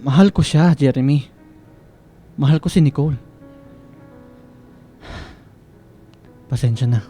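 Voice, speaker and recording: A male actor plays a young student, quietly resentful and lovesick, in a radio drama. His pitch sits in the middle, and music plays behind him. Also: normal speed, close to an everyday voice. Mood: hurt confession. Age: young adult. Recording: background music under the dialogue.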